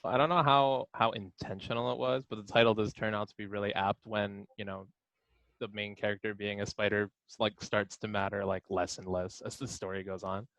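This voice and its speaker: Male panelist, lower register, conversational, reading prepared answers aloud. Very dry sound. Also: flat delivery, a little fast, a bit bright, dry recording, poor audio. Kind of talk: casual panel chat.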